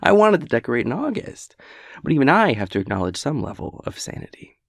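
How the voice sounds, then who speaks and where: Casting: chaotic gremlin boyfriend for comedic audio roleplay male actor. Theatrical, rambling, wildly swooping delivery, gravelly fry. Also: medium pitch, big pitch swings, fairly quick, no echo, clean studio sound. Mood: giddy, excited. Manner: theatrical, rambling, mock-grandiose wordplay. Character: chaotic gremlin boyfriend, manic, theatrical, playful Halloween enthusiast.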